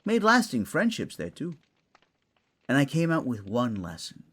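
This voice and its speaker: A man's voice: medium pitch, huge pitch swings, moderate pace, fairly bright tone, slightly rough, exaggerated voice. A man playing a wry, scholarly raccoon librarian in a fantasy audio drama, musing in a wordy, teacherly way. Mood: musing, fond.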